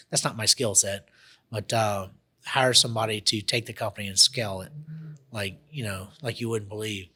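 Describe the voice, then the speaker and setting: A man with a bright, clear tone talks through his business plans on a radio show. His delivery wanders and restarts often, in a relaxed conversational way. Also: on the low side. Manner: rambling, many restarts and fillers. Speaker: guest, automation entrepreneur. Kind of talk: casual radio interview.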